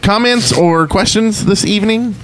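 Laid-back guy hosting a call-in podcast, friendly questions, bit higher voice. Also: normal speed. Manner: laid-back, easygoing, inviting callers to talk. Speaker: podcast host. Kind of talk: casual call-in podcast chat.

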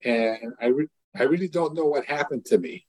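An older man hosting an interview podcast, talking in a warm, effusive way with many restarts and fillers. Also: medium pitch, moderate pace, dry recording, poor audio. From lived in Israel fifty years. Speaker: podcast host. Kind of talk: casual interview chat.

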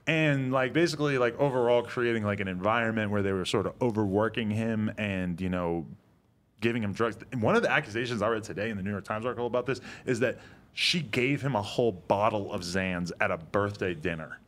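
A man with a slightly low voice talks through the topic in a loose, slangy way, leaning on filler words as he goes. It sounds like a relaxed podcast chat between co-hosts. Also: a little fast. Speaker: podcast co-host. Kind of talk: casual chat.

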